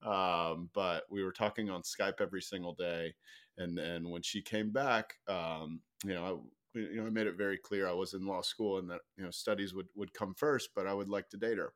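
A man's voice: deep voice, steady tone, normal speed, a bit bright. A man speaking as a podcast guest, casually telling personal stories in a conversational, anecdotal way.

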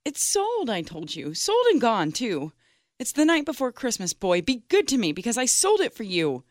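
A man voicing a young, loving wife who is anxious and pleading, in a soft, high falsetto. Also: huge pitch swings, moderate pace, no room sound, clean studio sound, heavily acted.

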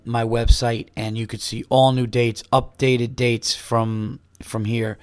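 A comedian chats alone into the mic, rambling and upbeat. He is coming down with a cold, and his voice is starting to go. His pitch stays fairly level, and the recording is dry and close. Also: clean tone, no echo. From New York. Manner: rambling, upbeat, with restarts and plugs. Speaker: comedian hosting his own podcast. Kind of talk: casual solo podcast chat.

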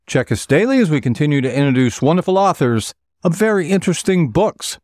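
A man's voice: fairly high pitch, sing-song, fairly quick, a little mellow, dry recording, studio quality. A man hosting an author interview show, with polished questions and presenting.

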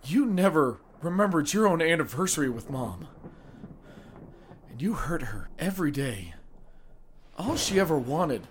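An actor playing a bitter, grieving young son in an audio drama. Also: male voice, a little high, very animated, unhurried, pauses often, a little hiss or hum. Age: young adult.

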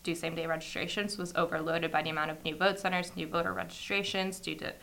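A female college student in her twenties explaining a problem in an interview, halting and restarting. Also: on the low side, little pitch movement, gravelly, sharp tone, a little hiss or hum. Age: 20s. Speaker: student organizer. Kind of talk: interview answer.

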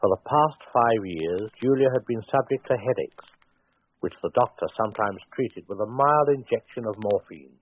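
An actor narrating a 1940s radio suspense drama as a wry, sinister storyteller, deliberate and sardonic. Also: male voice, medium pitch, expressive, natural voice. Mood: ominous, sardonic. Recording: tinny 1940s radio recording over music.

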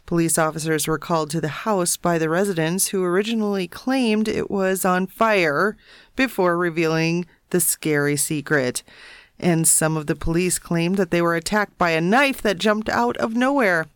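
Low-voiced female podcast co-host. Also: moderate pace, clear, resonant tone, dry recording. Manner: reads stories matter-of-factly, breaks into laughter at jokes. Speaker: co-host reading paranormal news stories. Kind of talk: casual podcast news reading with banter.

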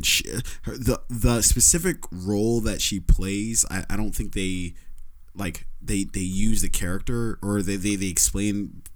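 A man with a fairly low voice talks through a film review in a loose, casual way. He stops and restarts often, stacking up fillers as he hunts for words. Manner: halting, many restarts and fillers. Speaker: podcast co-host.

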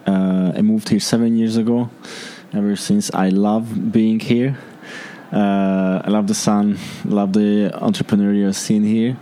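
A young man from Hungary talks in English with a Hungarian accent, his voice low-set and mellow. He answers interview questions earnestly, pausing to search for words. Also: level tone, a little hiss or hum. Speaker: startup founder.

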